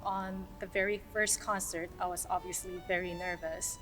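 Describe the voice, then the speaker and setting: A woman's voice: lowish voice, flat delivery, normal speed, a bit bright. Woman musician from South Korea over music. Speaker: orchestral violinist. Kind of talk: casual scripted-outline self-introduction to camera.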